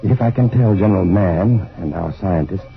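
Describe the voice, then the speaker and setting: An actor playing an earnest, protective, determined scientist hero in an old-time radio drama. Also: male voice, sits low, normal speed, narrow, tinny audio, soft background music. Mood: urgent. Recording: noisy, phone-thin vintage radio recording.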